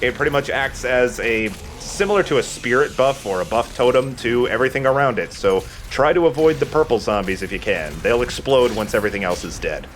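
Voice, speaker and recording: A man's voice: medium pitch, animated, brisk, few pauses, a bit bright, slight background noise. A man giving casual let's play commentary, explaining things and correcting himself as he goes.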